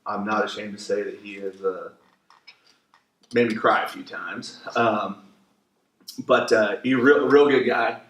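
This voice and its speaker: A man with a lowish voice co-hosts in loose, enthusiastic talk. He sounds distant in a reverberant room, with faint noise behind him. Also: fairly even intonation, measured pace, pauses often, a bit bright. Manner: loose, swearing, enthusiastic hype. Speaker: podcast co-host. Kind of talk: casual podcast chat. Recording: echoey room, distant mic, faint hiss.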